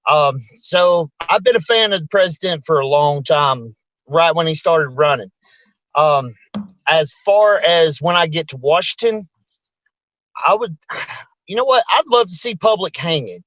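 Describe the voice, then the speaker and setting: Blunt crude man, higher voice, dry close sound. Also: lively intonation, a little slow, a bit bright, dry recording, lo-fi sound. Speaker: political candidate. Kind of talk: debate answer.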